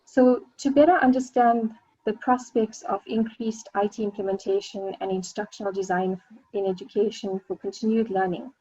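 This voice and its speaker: A woman's voice: medium pitch, fairly even intonation, moderate pace, mellow, husky, no echo, lo-fi sound. A South African woman, a senior lecturer, giving an academic presentation on a video call with frequent ums and uhs. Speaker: senior lecturer, webinar panelist.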